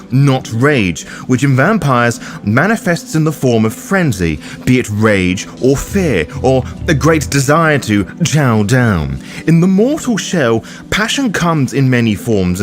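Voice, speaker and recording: Man narrating with very lively intonation. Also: medium pitch. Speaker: host of a tabletop roleplaying lore guide. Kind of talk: scripted narration, video essay.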